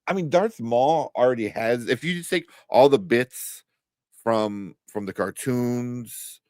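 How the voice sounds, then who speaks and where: A man's voice: mid-range voice, slow pace, darkish tone, no room sound, clean studio sound. A man hosting a casual live pop-culture chat, rambling enthusiastically and often searching for names. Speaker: host of a pop-culture review show.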